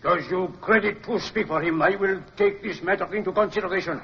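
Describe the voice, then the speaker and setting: A coolly formal cavalry officer, speaking in a high voice with stern authority. The radio drama recording is dull. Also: male voice, a little fast, heavy background noise.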